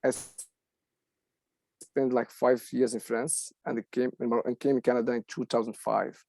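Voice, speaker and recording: A man's voice: mid-range voice, flat delivery, vocal fry, darkish tone, no echo. Hesitant man learning English. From Morocco. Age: 40s. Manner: hesitant, halting, with many fillers. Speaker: adult English student. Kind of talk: casual conversation.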